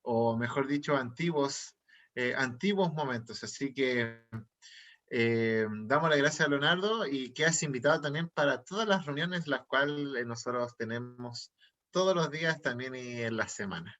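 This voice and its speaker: Bright-voiced youth meeting co-host. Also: male voice, mid-range voice, moderate pace, lo-fi sound. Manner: upbeat, repetitive fillers. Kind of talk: livestream hosting. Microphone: video call.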